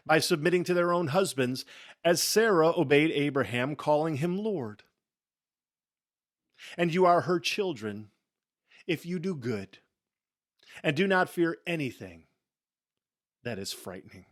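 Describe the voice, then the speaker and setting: Guy doing a solemn dramatic bible reading, really slow w big pauses, very dry close sound. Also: a little high, expressive, no room sound. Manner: solemn, emphatic, with deliberate pauses and hushed phrase endings. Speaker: scripture reader.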